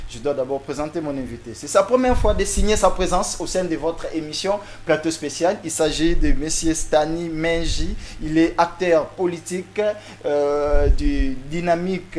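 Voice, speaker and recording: TV host, male, Congolese French accent, fairly high voice, formal yet halting delivery, faint background noise. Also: darkish tone. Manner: formal, hesitant, repeating words. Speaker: TV talk show host. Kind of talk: presenting and interviewing on a talk show.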